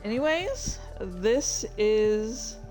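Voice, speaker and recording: A woman streamer chatting casually about her own writing in a halting, rambling, self-deprecating way. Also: medium pitch, sing-song, darkish tone, soft background music. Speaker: streamer and fan fiction author. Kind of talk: casual livestream chat.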